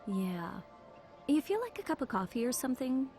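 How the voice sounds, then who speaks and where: Sociable, lively-toned interviewer. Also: female voice, high-pitched, normal speed, over music. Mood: friendly. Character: friendly, forthcoming female interviewer, casual and sociable. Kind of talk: animation dub acting.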